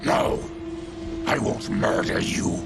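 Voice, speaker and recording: A man playing a gruff, defiant but honourable monster warrior in a video game, with a gravelly growl. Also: takes it slowly, loud music behind the voice, lightly acted. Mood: stern, resolute. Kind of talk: dramatic video game acting.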